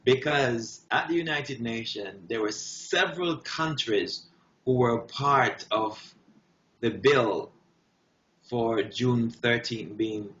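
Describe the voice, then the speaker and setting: A man speaking as an interview guest, giving impassioned, emphatic answers in short, deliberate, declarative phrases. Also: mid-range voice, fairly bright tone. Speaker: guest artist. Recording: dull, roomy echoing sound.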